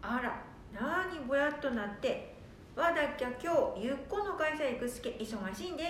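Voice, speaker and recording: An echoey, slightly noisy radio play recording of a woman acting a busy old grandmother. Her voice is high and brisk, in the Nanbu dialect of northeastern Japan. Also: animated, fairly bright tone. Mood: brisk, bossy. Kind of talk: amateur radio drama acting. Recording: echoey room, faint noise.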